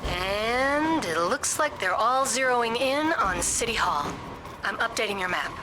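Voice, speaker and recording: Female mission support for a game, casual and watchful, higher register, over music. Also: lively intonation, measured pace, poor audio. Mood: alert, concerned. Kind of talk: conversational mission briefing in a video game.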